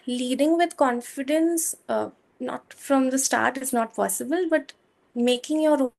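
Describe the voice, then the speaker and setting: A woman in her twenties with a soft voice, answering interview questions in a measured, hesitant way with many fillers. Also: a little high. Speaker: guest, software engineer.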